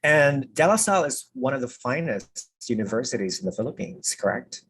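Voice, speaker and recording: A man's voice: mid-range voice, big pitch swings, brisk, a bit bright, husky. A man hosting a casual video call interview, chatty and prone to repeating his words. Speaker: pageant interviewer.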